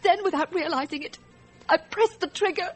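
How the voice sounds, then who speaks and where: A woman playing a frightened, distressed wife in a radio drama, recounting her story in a halting, hesitant way. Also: very high-pitched, soft background music. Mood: haunted, fearful. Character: frightened, distressed wife testifying about a night shooting.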